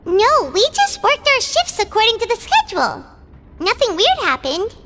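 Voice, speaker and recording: A woman's voice: huge pitch swings, normal speed, big-room sound, heavily acted. A woman voicing a tiny, chatty fairy-like girl companion who talks about herself in the third person, in a video game. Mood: puzzled. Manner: speaks of herself in third person, high and bubbly. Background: game music.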